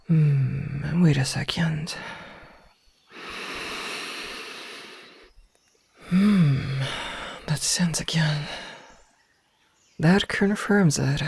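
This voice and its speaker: A woman performs a female werewolf omega for an ASMR fantasy roleplay. She speaks at a slow, deliberate pace in formal phrases, commanding and possessive with a cool threat underneath. The sound is dry, with no room around her. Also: sits low, darkish tone, no echo, heavily acted. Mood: coolly menacing, then secretive, longing. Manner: slow, deliberate, formal, with breathy whispered asides.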